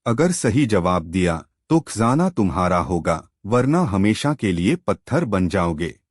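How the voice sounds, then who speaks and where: A man plays a fearsome storybook witch guarding her treasure. She speaks slowly and commandingly, with dramatic swings in pitch and a menacing edge. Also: big pitch swings, dry recording, studio quality, exaggerated voice. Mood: commanding, riddling. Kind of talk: children's storybook character voice.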